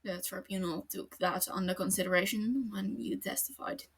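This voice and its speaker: A woman voicing a calm, detached adult male detective, quiet and matter-of-fact. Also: medium pitch, moderate pace, vocal fry, breathy edge. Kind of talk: podfic character reading.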